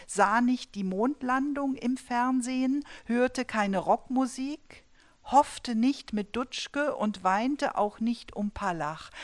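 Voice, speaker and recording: A woman in her fifties from the German Saar-Palatinate border region, a poet presenting and reading her own poems in a measured, deliberate way. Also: on the high side. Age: 50s. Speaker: poet and dramaturge.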